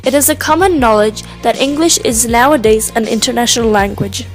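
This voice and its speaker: A woman's voice: on the high side, brisk. A woman narrating a prepared text, reading it evenly like a class presentation. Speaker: student narrator. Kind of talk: scripted narration. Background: hip hop music.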